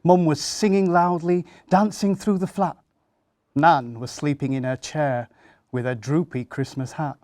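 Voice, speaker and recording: A man's voice: on the high side, steady tone, unhurried, a little mellow, no room sound, studio quality. A man introducing and reading a children's story for a charity. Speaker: guest story reader for a charity.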